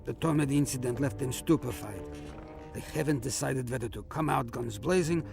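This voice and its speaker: A jovial veteran starship captain in a sci-fi audio drama, acted by a man. He is an older man with a creaky, mid-pitched voice and wry, theatrical bluster. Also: moderate pace, music in the background. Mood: confident, blunt. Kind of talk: science fiction audio drama acting.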